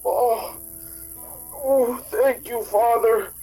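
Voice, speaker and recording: An actor playing a brutish, dim bully in a radio drama, blustering and groaning. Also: male voice, extremely high pitch, fairly even intonation, drawn-out words, darkish tone, husky, soft background music, heavily acted, rough recording. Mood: dazed, groaning.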